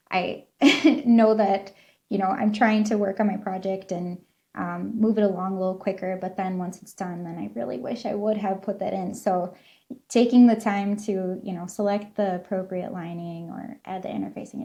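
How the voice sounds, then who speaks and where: A woman hosting a casual interview, warmly affirming and chatty, posing long questions. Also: medium pitch. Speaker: interview host.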